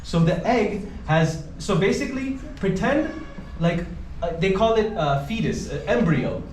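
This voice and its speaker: A travel vlogger talking to a class of students in a school, animated and laughing often, using simple clear wording. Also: male voice, high-pitched, measured pace, slight background noise. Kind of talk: casual talk to a class. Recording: echoey room, from a distance.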